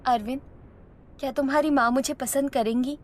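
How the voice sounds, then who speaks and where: A woman's voice: sits high, fairly even intonation, normal speed, close to an everyday voice. A woman voicing a meek, suffering young daughter-in-law who speaks plaintively in a Hindi cartoon story. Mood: anxious. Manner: pleading, plaintive.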